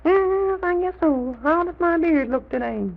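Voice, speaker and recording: A performer playing a quaint, rambling, excitable little old gentleman in an old children's radio serial, with a high, creaky voice. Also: unhurried, full character voice. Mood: chatty, muddled. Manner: rambling, repetitive, exclaims "goodness me". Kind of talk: 1920s-30s children's radio serial acting. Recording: tinny, noisy old radio recording.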